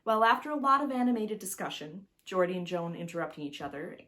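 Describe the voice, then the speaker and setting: A woman narrating a children's storybook as its author, in an expressive, emphatic way that speaks directly to the listener. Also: medium pitch, fast.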